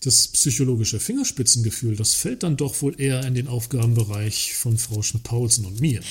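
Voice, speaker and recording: An actor playing a works councillor who is politely needling yet placating, speaking in a satirical radio play. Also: male voice, medium pitch, expressive, a little fast, husky. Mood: politely mocking. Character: male works councillor, mildly mocking yet placating the boss. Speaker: actor in a satirical audio drama. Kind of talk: German radio play dialogue.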